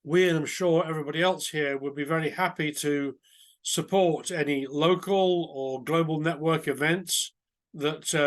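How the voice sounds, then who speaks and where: Hoarse man, halting speech. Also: fairly high pitch. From England. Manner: hesitant, many ums and restarts. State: hoarse from a cold. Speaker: project lead. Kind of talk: webinar remarks.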